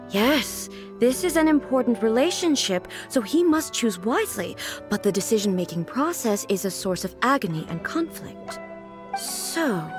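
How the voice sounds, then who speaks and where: A regal female ruler, solemn and earnestly curious. Her fairly high voice is formal and measured, as in a video game, with music behind it. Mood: earnest, analytical. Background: background music.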